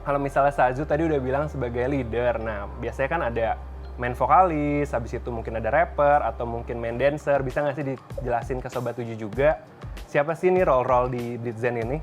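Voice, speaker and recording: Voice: medium pitch, brisk, soft background music. A TV show host in a casual interview who chats along and mixes in English words.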